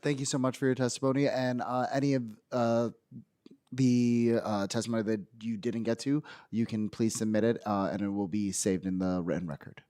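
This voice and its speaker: Smooth-voiced man chairing a hearing. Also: mid-range voice, steady tone, warm tone, no echo. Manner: halting, many filler words. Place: city council hearing room.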